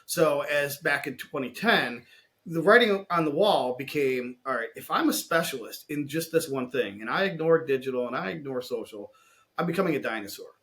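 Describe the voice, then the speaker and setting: Male interviewer, slightly high voice, articulate and measured, conversational podcast hosting. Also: lively intonation, a bit bright.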